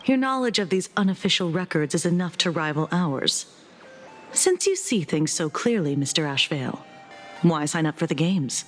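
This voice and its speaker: A woman plays a shrewd, formal game official with a low voice and coolly teasing manner. Her measured lines carry a long reverb over faint music. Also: expressive, close to an everyday voice. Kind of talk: video game story dialogue.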